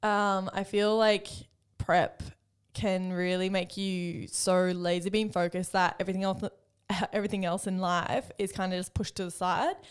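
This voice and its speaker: A woman's voice: mid-range voice, little pitch movement, a little slow, smooth. A woman co-hosting a podcast, chatting casually with plenty of fillers. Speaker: podcast co-host and coach. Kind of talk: casual chat.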